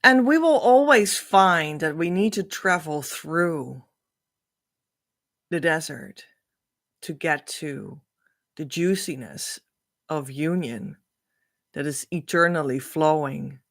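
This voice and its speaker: Slow-speaking woman teacher. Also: low-pitched, fairly bright tone. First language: Dutch. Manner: earnest, reassuring, unhurried. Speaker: spiritual teacher. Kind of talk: spiritual teaching talk.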